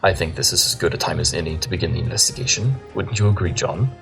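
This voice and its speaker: A man's voice: low voice, fast talker, creaky, fairly bright tone, soft background music. A man playing an eccentric, self-assured pony detective in a cartoon audio drama, articulate, formal and cheerfully dry. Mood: matter-of-fact.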